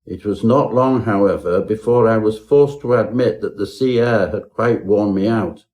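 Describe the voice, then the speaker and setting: A man's voice: fairly low pitch, moderate pace, a little mellow. A man narrating a parody Victorian ghost story in an ornate, theatrical storytelling manner. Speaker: audiobook narrator.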